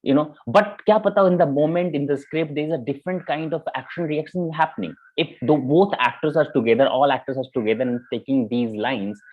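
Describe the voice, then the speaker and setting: A man with an Indian accent giving informal spoken feedback as a mentor, halting and repeating himself, often checking in with "you know". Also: fairly high pitch. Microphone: video call. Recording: muffled, band-limited online call audio.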